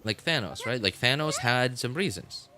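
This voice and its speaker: A man live streaming casual, unscripted commentary, speaking haltingly and repeating phrases for emphasis. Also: medium pitch, lively intonation, over music.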